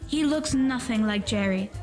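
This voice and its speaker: A woman voicing a confident, teasing know-it-all young kid in an animated story. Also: a little high, moderate pace, natural voice. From Pakistan. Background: background music.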